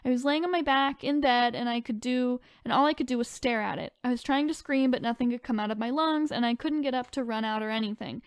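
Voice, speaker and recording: Woman, podcast co-host, high smooth voice, dry close sound, informal reading and chat. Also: fast, hardly any pauses, dry recording. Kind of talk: reading online stories aloud and casual chat.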